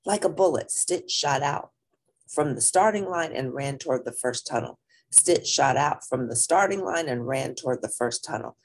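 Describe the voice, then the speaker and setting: A woman reading a children's story aloud in an animated, dramatic, expressive narrating style. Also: rumbling low, moderate pace, husky.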